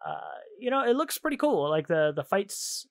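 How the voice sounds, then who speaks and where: A man's voice: high-pitched, big pitch swings, moderate pace, no room sound, studio quality. A man hosting a video game podcast, chatting casually and enthusiastically in informal slang. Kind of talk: casual chat about video games.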